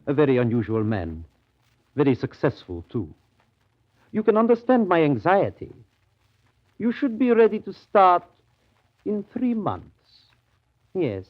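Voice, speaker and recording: An actor playing a grave, evasive colonial trading station manager, measured and ominous in a radio drama. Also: male voice, a little high, very animated. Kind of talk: 1930s radio drama acting. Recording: dull, lo-fi 1930s radio recording.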